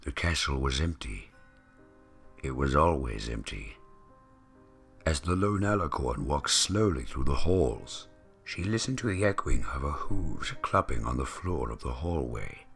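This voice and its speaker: A man with a deep, gravelly voice narrating a story in a theatrical, deliberate manner, imitating a grand veteran voice actor. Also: animated, a bit bright. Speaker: narrator of fan fiction readings. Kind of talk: dramatic scripted story narration. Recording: music behind the voice. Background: background music.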